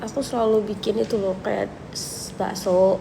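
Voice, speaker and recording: A young woman, slightly under the weather with a cold, talks casually to her viewers. Her voice has a gravelly, creaky edge. The livestream audio carries clear background noise. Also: mid-range voice, moderate pace. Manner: chatty, relaxed, thinks aloud with fillers. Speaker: livestream host answering viewers. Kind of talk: casual live chat reading viewer questions.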